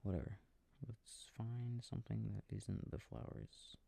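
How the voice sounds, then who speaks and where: A man speaking softly and breathily, often near a whisper, quietly musing to himself in an ASMR sleep-aid style while playing a video game. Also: lowish voice, lively intonation, a little slow, creaky, slightly rough. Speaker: audio roleplay performer. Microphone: close microphone. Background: game controller clicking.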